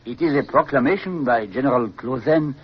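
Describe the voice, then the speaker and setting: Male actor as older French count, husky, mid-pitched, formal, loyal, grave, vintage radio drama, muffled sound. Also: brisk, natural voice. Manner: formal, courteous. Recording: dull vintage radio recording.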